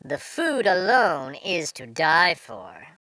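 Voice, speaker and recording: A man's voice: on the high side, very animated, slow pace, pushed and bright, no echo, exaggerated voice. A man voicing a refined, proud city mouse in a posh, affected way for a children's storybook. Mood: snooty, sniffing.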